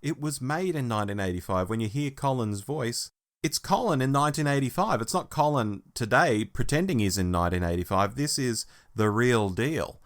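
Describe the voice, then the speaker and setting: A man's voice: mid-range voice, lively intonation, normal speed. A man hosting a podcast, talking casually as he gives his review in a discussion. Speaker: podcast host.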